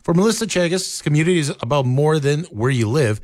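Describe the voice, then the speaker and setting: A man reading scripted local news in a steady newsreader manner, from a radio studio. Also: on the high side, a little fast, no echo. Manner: steady, even newsreader delivery. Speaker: radio newscaster.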